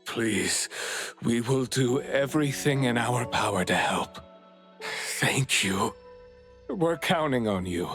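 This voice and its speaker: A worried, courteous father in a video game. He pleads earnestly, his mid-pitched voice moving up and down a lot. Music plays softly underneath. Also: lively intonation, takes it slowly, long pauses. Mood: pleading. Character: worried, polite father of a missing boy. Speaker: voice actor in a game. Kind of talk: dramatic video game voice acting. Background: background music.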